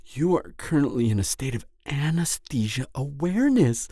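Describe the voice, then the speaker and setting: Deep-voiced cannibal killer lady, taunting and theatrical, slow talk that swings all over. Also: sing-song, mellow, no echo, clean studio sound, exaggerated voice. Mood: gleeful, mocking. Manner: theatrical, taunting, frequent cackles and breathy whispers. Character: gleeful sadistic cannibal serial killer woman, mocking, theatrical. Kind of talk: dramatic audio roleplay to the listener.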